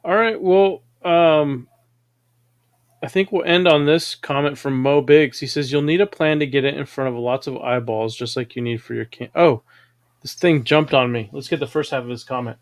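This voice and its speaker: A man hosting a casual live show, reading viewer comments aloud and responding in a relaxed, conversational way. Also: mid-range voice, normal speed. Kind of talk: casual livestream chat.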